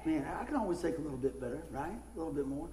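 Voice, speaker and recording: A middle-aged man, a pastor, preaching a casual sermon with a hoarse voice that he is losing, folksy and animated in his stories. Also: rapid-fire, echoey room, slight background noise. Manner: folksy, animated storytelling with rising pitch in anecdotes. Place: church.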